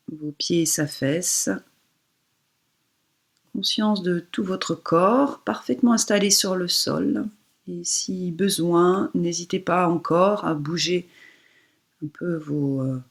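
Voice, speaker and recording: A woman yoga instructor guiding a relaxation meditation in a soft, breathy voice, giving soothing, unhurried instructions with pauses. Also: lowish voice.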